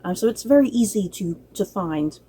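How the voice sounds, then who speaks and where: A woman's voice: medium pitch, animated, normal speed, mellow, a little hiss or hum. A woman giving a casual, unscripted review to viewers as a channel host, chatty and rambling, often circling back to repeat herself.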